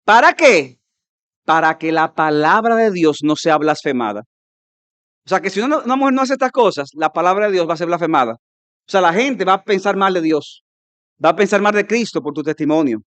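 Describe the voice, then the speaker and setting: A Dominican man preaches a conference sermon in a fairly high voice, driving his points home with sudden loud peaks. He acts out his listeners' objections in quick mimicking asides. The sound is dry, with little room echo. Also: animated. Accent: Dominican Spanish. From Dominican Republic. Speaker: pastor.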